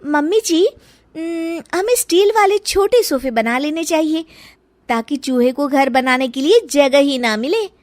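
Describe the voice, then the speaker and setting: A woman voicing a sassy, sweet-talking young daughter-in-law in an animated story, with exaggerated, expressive delivery. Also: sits high, unhurried, no room sound. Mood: persuasive. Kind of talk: animated Hindi moral story acting.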